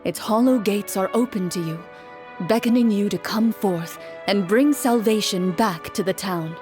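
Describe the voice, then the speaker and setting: A woman giving calm, measured second-person narration of a game story. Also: medium pitch, faint music behind.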